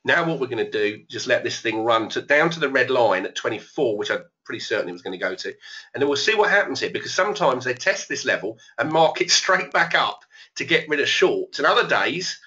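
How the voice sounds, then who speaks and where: A man hosts a live online trading session, thinking aloud as he works through his trades. His voice is a little hoarse but bright and forceful. The webinar audio sounds dull and lo-fi. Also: medium pitch, big pitch swings, a little fast. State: losing his voice. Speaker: trading educator. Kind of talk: live trading room commentary.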